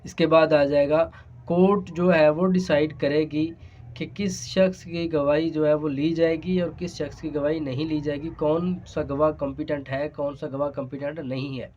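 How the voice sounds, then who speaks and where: A man's voice: on the high side, steady tone, normal speed, a little mellow, slight background noise. A man with a Pakistani accent giving an explanatory law lesson, speaking hesitantly and repeating his phrases. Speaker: law lecturer. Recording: dull, lo-fi sound.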